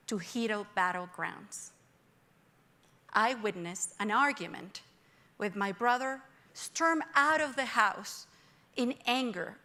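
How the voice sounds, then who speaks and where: A woman's voice: medium pitch, a bit bright. Earnest Venezuelan woman telling her story. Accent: Venezuelan Spanish. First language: Spanish. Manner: reflective, earnest, measured pauses. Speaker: forum speaker. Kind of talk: personal storytelling speech. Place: conference room with audience.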